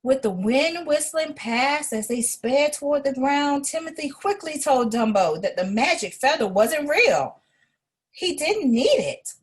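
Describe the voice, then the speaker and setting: A woman reading a children's story aloud in an expressive way, as an educator would to a young listener. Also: sits high, measured pace.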